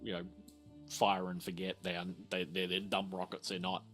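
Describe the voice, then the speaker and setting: A man explaining things as game master at a tabletop game, in a halting, explanatory way. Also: deep voice, completely flat delivery, fairly bright tone, soft background music.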